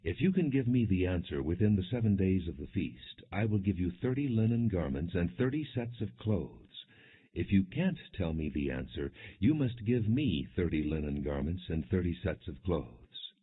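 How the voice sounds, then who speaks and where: A man's voice: low-pitched, very animated, normal speed, husky, full character voice. A man voicing a bold, stubborn young strongman with a blunt manner, in a scripture reading on slightly muffled audio. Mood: confident challenging.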